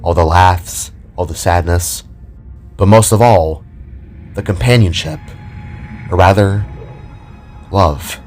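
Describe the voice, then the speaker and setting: A man's voice: deep voice, slow, long pauses, darkish tone, faint music behind. A man narrating a scripted audio drama as a dramatic storyteller.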